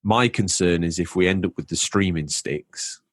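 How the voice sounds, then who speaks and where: A man's voice: sits low, normal speed, no echo, clean studio sound. A man co-hosting a casual podcast chat, wry and full of rhetorical questions. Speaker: podcast co-host.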